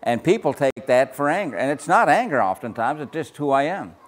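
An older man with a naturally loud voice, leading a Bible study group discussion in a casual, talkative way. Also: on the high side, a little fast. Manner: loud, gets louder when excited.

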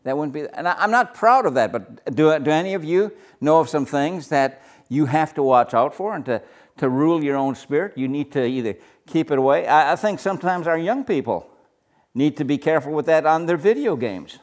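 An older man with a naturally loud voice, leading a Bible study group discussion in a casual, talkative way. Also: a little high, brisk. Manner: loud, gets louder when excited.

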